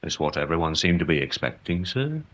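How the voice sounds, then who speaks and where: English police sergeant, radio drama, very animated pitch, fairly low, deferential, plain-speaking, hesitant. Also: male voice, brisk. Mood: respectful, doubtful. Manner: respectful, hesitant.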